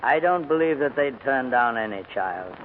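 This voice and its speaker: A man acting a dignified old English gentleman in a forties radio play, stubborn and earnest under questioning. His delivery is restrained. The sound is thin and phone-like, with faint background noise. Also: medium pitch, animated, moderate pace, natural voice. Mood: weary, earnest. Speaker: actor and narrator in a radio drama. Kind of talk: 1940s radio drama acting. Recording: thin, phone-like 1940s radio recording, hiss.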